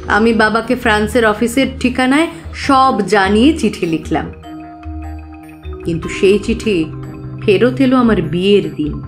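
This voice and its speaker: A woman's voice: medium pitch, a little slow, pauses often, faint music behind, natural voice. An actress playing an earnest, trusting young woman client who carefully recounts her troubles in an audio drama. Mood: earnest, troubled.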